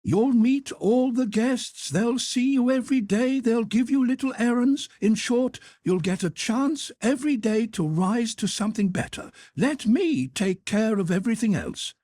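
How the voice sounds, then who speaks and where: A man's voice: very high voice, unhurried, hardly any pauses, mellow, no room sound, studio quality, pitched above the performer's own voice. A man doing an effusive fifty-year-old head cook. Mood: pressing. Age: 50s. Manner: effusive, pressing, motherly.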